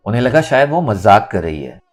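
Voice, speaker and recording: A man's voice: fairly low pitch, very animated, fairly quick. A man reading a novel aloud as dramatic scripted narration. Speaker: audiobook narrator. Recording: music under the voice.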